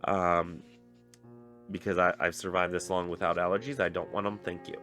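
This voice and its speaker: A man's voice: sits low, level tone, normal speed, pushed and bright, faint music behind. A young man with a stuffy nose, reviewing a book in a rambling, casual way with many fillers and restarts. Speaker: book reviewer on a pagan channel.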